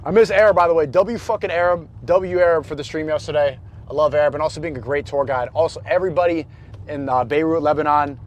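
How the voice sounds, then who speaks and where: A man's voice: fairly high pitch, fairly even intonation, fast talker, slight background noise. Slangy man livestreaming on city streets. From New York. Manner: loose, slangy, talks to chat and strangers. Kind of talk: casual street livestream chat.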